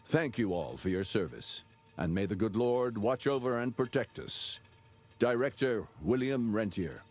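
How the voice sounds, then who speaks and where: Solemn, stately frontier director. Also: male voice, fairly low pitch, animated, darkish tone, faint music behind. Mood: solemn, resolute. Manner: formal, stately, deliberate. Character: solemn, authoritative male director of secret frontier institute. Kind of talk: scripted letter narration in a video game.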